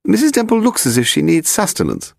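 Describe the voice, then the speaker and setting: A man's voice: high-pitched, huge pitch swings, fairly quick, creaky, no room sound, clean studio sound, pitched above the performer's own voice, exaggerated voice. A man voicing a haughty, pedantic English aristocrat, a frail lady in her eighties, in an upper-class accent for an audiobook story. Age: 80s. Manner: pedantic, grand, derisive, demanding. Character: imperious frail aristocratic old lady of eighty-five, malicious.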